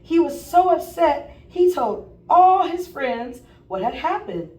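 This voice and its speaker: A woman teacher reading a children's picture book aloud in an expressive storytelling style. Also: sits high, slow. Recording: roomy, distant mic, faint noise.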